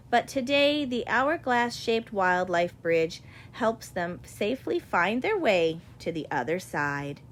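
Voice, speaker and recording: A woman narrates a children's nature picture book with deliberate, expressive phrasing. Her medium-pitched voice moves up and down in a lively way, and there is some faint but steady noise behind the recording. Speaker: audiobook reader.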